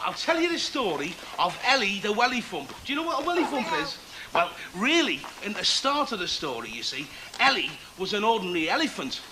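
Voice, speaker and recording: Very high and lively, this is a cheery working-class Liverpool chancer, full of wisecracks and colloquial patter. He talks like a born yarn-spinner in a TV drama. The location sound is clearly noisy behind him. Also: heavily acted.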